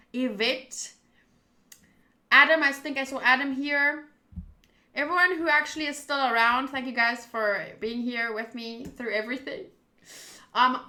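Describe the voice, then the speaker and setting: Bright, animated young woman streaming. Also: on the high side, echoey room. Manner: chatty and animated, jumping between loud outbursts and mumbled asides. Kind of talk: casual live game playthrough chat.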